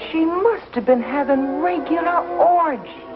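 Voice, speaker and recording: A woman's voice: high-pitched, a little slow. A woman playing a prim, haughty, indignant frontier landlady in a vintage TV western. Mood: scandalized. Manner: haughty, scandalized. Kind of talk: 1950s TV western acting. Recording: dull vintage soundtrack, music behind.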